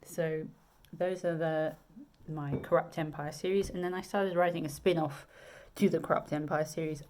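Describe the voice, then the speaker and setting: A woman's voice: sits low, steady tone, normal speed, darkish tone. A woman with a southern English accent, a romance author chatting casually to camera about her books, with many ums and restarts. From England.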